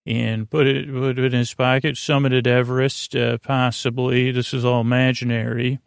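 A man with a gravelly, fry-laden voice delivers a rambling bedtime podcast monologue. He hesitates, doubles back and wanders into absurd tangents and imagined exchanges. The sound is very dry, with no room around the voice. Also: mid-range voice, little pitch movement, no echo. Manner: halting, meandering, with trailing tangents and invented dialogues. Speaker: podcast host.

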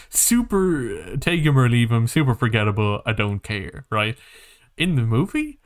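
A man's voice: medium pitch, big pitch swings, slow pace. A man co-hosting a podcast, chatting casually about movies and games in a rambling, emphatic, sweary way. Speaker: podcast co-host. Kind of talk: casual movie and game chat.

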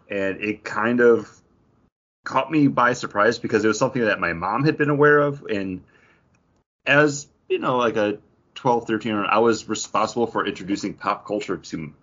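Low-voiced guy podcast host, really lively delivery, rambly, bit muffled audio. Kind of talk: casual pop-culture chat.

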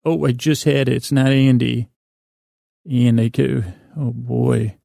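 A man hosting a bedtime podcast in a rambling, halting solo monologue, self-deprecating and whimsical, with a gravelly voice, close on the mic. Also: mid-range voice, fairly even intonation, dark tone, no echo. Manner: meandering, halting, repeats and loses his thread. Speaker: podcast host.

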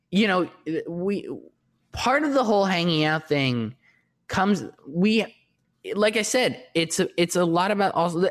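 A man with a distinctly high-pitched voice, chatting casually and rambling with many restarts and fillers. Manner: rambling, lots of restarts and fillers, self-described shy. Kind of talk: casual conversation.

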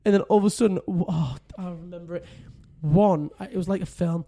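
Man, podcast co-host, mellow high voice, chatty, laughing storyteller. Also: a little fast, gravelly. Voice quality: says he has a deep voice. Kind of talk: casual chat.

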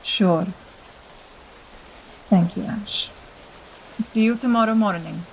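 A woman playing a composed, polite vampire in an audio drama, speaking in a measured, understated way. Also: mid-range voice, creaky, a little hiss or hum, rough recording. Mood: reserved.

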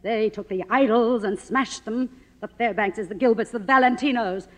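An actress playing a middle-aged, faded silent film star in a 1950s radio drama, grandiose, bitter and theatrical. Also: female voice, a little high, normal speed, fairly bright tone, close to an everyday voice. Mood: bitter, scornful. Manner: theatrical, imperious, declamatory.

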